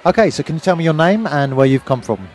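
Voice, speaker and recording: A man's voice: on the high side, lively intonation, quick pace, darkish tone. A man interviewing attendees at a teaching conference, asking polite, encouraging questions. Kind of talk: conference vox-pop interview. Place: busy conference venue.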